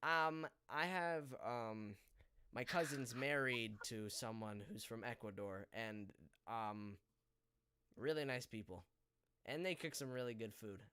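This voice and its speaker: A man hosting a podcast, whose first language is Polish, talks loosely and off the cuff, stumbling through restarts and fillers. His voice is smooth and resonant at a plain middle pitch. The sound is close and dry. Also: slow pace, sharp tone, no echo. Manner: rambling, hesitant, many fillers and restarts; apologetic, backpedaling. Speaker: podcast host and voice actor. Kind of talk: casual live podcast chat.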